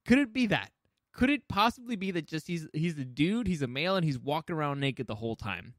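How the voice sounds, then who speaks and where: A man hosting a podcast in a casual, comedic style, excitable, jokey and free with swearing. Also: on the high side, a little fast, dry recording, studio quality. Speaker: podcast host.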